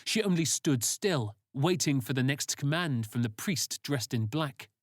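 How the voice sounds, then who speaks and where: Slow-paced male story narrator. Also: medium pitch, animated, dry recording, studio quality.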